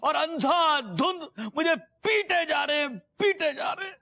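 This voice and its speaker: An emotional old father, as a man puts him on, coaxing and pleading in high, swooping tones. His voice is bright and edgy, with a sweeping, theatrical pitch. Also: expressive, slow pace, vocal fry, no room sound, highs cut off, exaggerated voice.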